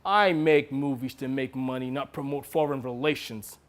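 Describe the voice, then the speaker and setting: A man acts out a condescending director in a comic story. He is bossy and dismissive, speaking very deliberately in a fairly high voice with patronising, curt authority. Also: animated, a bit bright. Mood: stern, dismissive. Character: condescending, bossy male film director, dismissive and money-minded.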